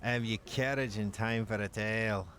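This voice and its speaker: A man voicing a gruff, bloodthirsty male dwarf warrior in a put-on Scottish accent, telling tales in an archaic brogue for a game. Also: on the low side, fairly even intonation, a bit bright. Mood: boastful. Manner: slow, boastful storytelling. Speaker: player reading the dialogue.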